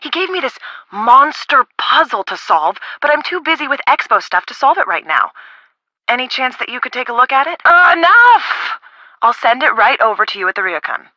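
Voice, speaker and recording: Breezy young gal-pal sleuth for a game; bright, animated, teasing, close dry sound. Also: female voice, sits high, moderate pace, no echo, exaggerated voice, rough recording. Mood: teasing, eager. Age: young adult. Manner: casual, perky, teasing. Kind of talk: video game voice acting.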